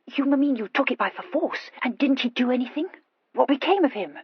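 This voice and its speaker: Lady doing a stammering young noblewoman, high breathy voice, earnest and gushing, storybook romance, bit muffled. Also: lively intonation, fairly quick, dry recording, heavily acted. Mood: puzzled, probing. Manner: hesitant, gushing confession with emphatic outbursts. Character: breathless impulsive young wife, anxious, earnest aristocrat.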